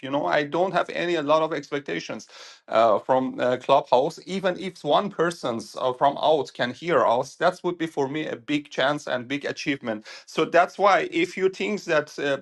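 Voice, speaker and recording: Male host, phone audio, halting earnest English. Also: a little high, creaky. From Iran. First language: Persian. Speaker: room host. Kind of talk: audio chat room discussion. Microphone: phone in a voice chat app.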